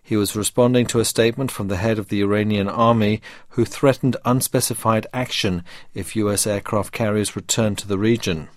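A man's voice: fairly low pitch, fairly even intonation, a little fast, hardly any pauses, no room sound, studio quality. A man reading a scripted news bulletin on the radio. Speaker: radio newsreader.

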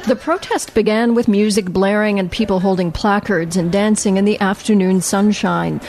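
A woman's voice: medium pitch, normal speed, music in the background. A woman radio reporter narrating a scripted news report.

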